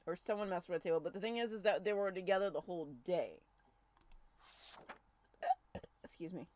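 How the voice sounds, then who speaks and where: A woman's voice: lowish voice, moderate pace, a little mellow. A woman streamer giving casual, chatty commentary on a game playthrough.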